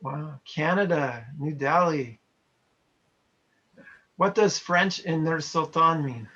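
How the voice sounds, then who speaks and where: A man's voice: on the high side, slow pace, sharp tone. A man from Montana, a trainer chatting casually with online participants and reading their chat messages aloud. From Montana, USA. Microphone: video call. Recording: lo-fi video call audio.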